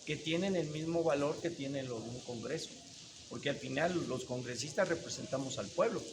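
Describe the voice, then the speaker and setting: A man's voice: on the high side, moderate pace, background noise. A man with a Mexican accent, answering interview questions in earnest, repeating key words for emphasis. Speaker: interview guest, businessman. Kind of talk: casual interview.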